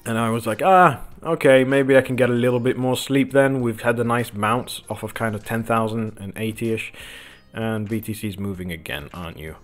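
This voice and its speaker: A man hosting a casual livestream from his home office, croaky from just waking up, rambling with lots of ums as he talks to viewers and the chart. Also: medium pitch, moderate pace, faint music behind. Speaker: crypto livestream host. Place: home office with window open.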